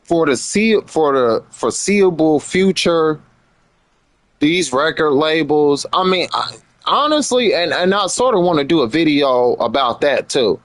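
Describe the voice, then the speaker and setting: A man's voice: fairly high pitch, animated, a little slow, dry recording. An American man chatting casually on a livestream in slangy speech, repeating phrases for emphasis. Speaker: live streamer. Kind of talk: casual livestream commentary chat.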